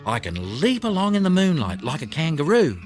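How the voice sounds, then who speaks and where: Kind, confident storybook wallaby lady voiced by a man, lifted pitch, music underneath. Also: huge pitch swings, a little fast. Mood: encouraging. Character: female wallaby, helpful, gentle, confident friend. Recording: music behind the voice.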